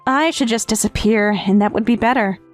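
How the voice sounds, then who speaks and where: High-voiced, confessional teenage girl played by a woman. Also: a little fast, natural voice. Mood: self-loathing. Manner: confessional, hesitant, earnest. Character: grieving, withdrawn teenage step-sister, guilty and tender. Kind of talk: dramatic first-person narration in manga dub. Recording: background music under the voice.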